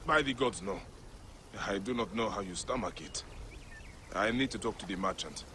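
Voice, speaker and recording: An actor playing a stern, righteous warrior hero in a video game, intense and formal in phrasing. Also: male voice, fairly low pitch, animated, normal speed, background noise, natural voice. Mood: concerned, firm. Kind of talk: video game character acting.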